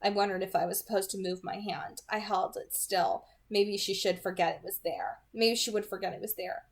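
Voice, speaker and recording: Female amateur narrator, medium pitch, noticeable vocal fry, scripted fiction read-aloud. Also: fairly even intonation, normal speed. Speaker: amateur audiobook reader.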